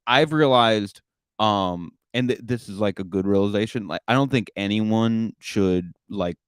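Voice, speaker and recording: Low-voiced rambling comedian. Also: male voice, a little slow, clear, resonant tone, no room sound, clean studio sound. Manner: rambling, self-deprecating, lots of fillers. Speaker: comedian podcast host. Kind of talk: casual chat.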